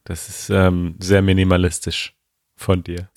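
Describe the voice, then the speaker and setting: A man's voice: sits low, flat delivery, measured pace, a little mellow, no room sound, clean studio sound. A man co-hosting a podcast in casual chat, easygoing and teasing. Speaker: podcast co-host.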